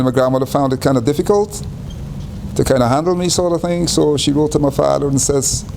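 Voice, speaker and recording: An older man from Guyana recounts his early years at an unhurried pace, often circling back and repeating his words. His voice sits at an ordinary pitch for a man. A faint background hiss runs beneath the recording. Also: gravelly, darkish tone, rough recording. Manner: unhurried, repeats and restarts phrases. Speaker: interviewee. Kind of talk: reflective life-story interview.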